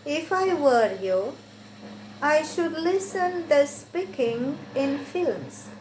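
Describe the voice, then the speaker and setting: High-voiced woman reading slowly. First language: Romanian. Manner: slow, careful reading with deliberate phrasing. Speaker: English learner working through textbook exercises. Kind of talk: reading exercises aloud and answering them. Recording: roomy echo with faint background hiss.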